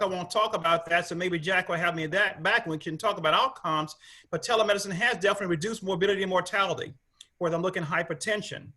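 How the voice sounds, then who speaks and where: Bright-voiced man lecturing on medicine. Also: high voice. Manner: conversational, with restarts and quoted asides. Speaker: rheumatologist giving a lecture. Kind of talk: conference lecture over slides.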